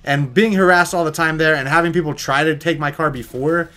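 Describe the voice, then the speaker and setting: A young American man with a high voice for a male speaker, chatting quickly and informally. He rambles through a casual story in a jokey, conversational way. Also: sharp tone. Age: young adult. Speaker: vlogger telling a story.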